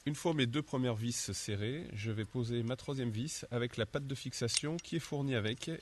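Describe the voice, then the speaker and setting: A man's voice: mid-range voice, normal speed, heavy background noise. Methodical man explaining DIY steps. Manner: methodical, explains each step in first person. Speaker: DIY instructor. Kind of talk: step-by-step how-to narration.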